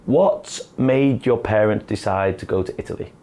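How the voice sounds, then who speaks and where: A man's voice: fairly low pitch, normal speed, dark tone. A man hosting a podcast, who introduces the show and asks questions in an upbeat, encouraging and friendly way. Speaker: podcast host. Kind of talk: podcast host intro and interview.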